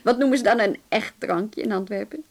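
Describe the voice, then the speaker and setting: An actress in a radio play voicing a brisk, wry female police inspector with a dry, businesslike manner. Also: mid-range voice, close to an everyday voice. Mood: wry, chatty. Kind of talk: radio drama acting.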